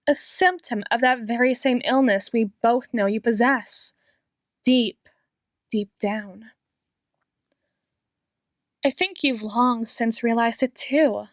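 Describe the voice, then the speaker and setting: A woman playing an obsessive, menacingly tender doctor in an ASMR roleplay, speaking in a deliberate, theatrical monologue with drawn-out words. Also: on the high side, dry recording, clean studio sound. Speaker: audio roleplay performer.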